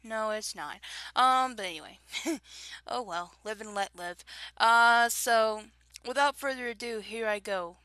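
A woman's voice: on the high side, measured pace, bright, forceful tone, clear, resonant tone, no echo. A young woman with a Southern drawl, chatting casually on her own recording, giggling and rambling with frequent restarts. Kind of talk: casual chat recorded alone.

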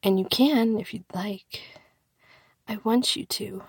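A woman delivers an intimate, seductive erotic monologue close to the microphone, breathy and husky, moving between soft murmurs and whispers. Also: mid-range voice, slow, no echo. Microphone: close microphone, dry.

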